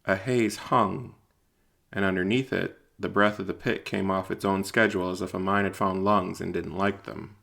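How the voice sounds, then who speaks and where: Low, creaky-voiced male storyteller. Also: fairly even intonation, fairly bright tone. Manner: measured, deliberate, literary delivery. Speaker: narrator and writer-performer. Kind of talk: scripted audio drama narration.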